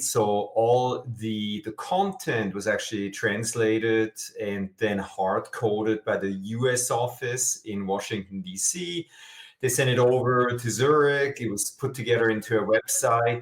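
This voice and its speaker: A man's voice: fairly low pitch, echoey room. A middle-aged man from Switzerland, a guest explaining his work in an interview with eager, filler-dotted answers. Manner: enthusiastic, explanatory, with frequent "uh" fillers. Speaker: guest, SEO expert.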